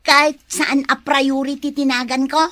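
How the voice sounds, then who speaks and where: Female, bright and a little high, acting a vain, grumbling rural wife in comedy. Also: flat delivery, moderate pace, no echo. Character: complaining, vain, stubborn wife of a farmer. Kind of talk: comedy skit dialogue in Ilocano.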